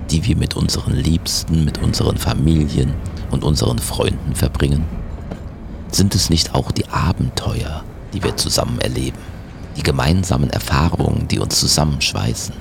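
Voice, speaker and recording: Man playing a very deep gravelly villain mastermind. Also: normal speed, noisy background, full character voice. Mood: solemn, sentimental. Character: theatrical villain mastermind in a swivel chair, sentimental and grandiose. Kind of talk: audio play acting.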